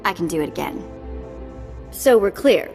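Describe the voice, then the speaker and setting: An actress playing a sassy, defiant alien slave treasure hunter in a video game, sarcastic and full of wisecracks. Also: female voice, on the low side, expressive, moderate pace, over music. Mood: wry. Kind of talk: scripted video game drama acting.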